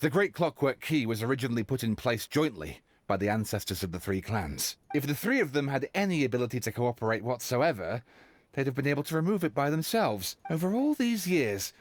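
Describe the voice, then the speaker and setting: A man's voice: mid-range voice, big pitch swings, fairly quick. A man playing an idealistic, remorseful detective in a game, speaking earnestly and theatrically. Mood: resigned. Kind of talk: scripted game voice acting. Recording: music under the voice.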